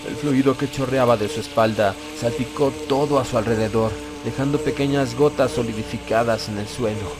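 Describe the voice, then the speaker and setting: A man narrating a horror story in a slow, deliberate, grave and dramatic way, as for an audiobook. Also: medium pitch, fairly even intonation, low-quality recording.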